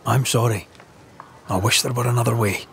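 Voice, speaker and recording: An actor playing a confident monster hunter in a game cutscene, earnest and wry. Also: male voice, mid-range voice, steady tone, normal speed, vocal fry, fairly bright tone, slight background noise. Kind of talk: dramatic game cutscene acting.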